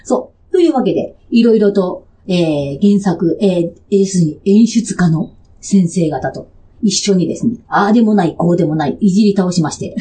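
A woman's voice: on the low side, moderate pace, mellow. A woman hosting a radio show in a chatty, playful and giggly way.

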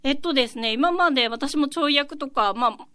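A woman's voice: sits high, fairly quick, sharp tone. A woman guesting on a radio show, chatting casually and agreeably chiming in. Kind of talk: casual chat among friends.

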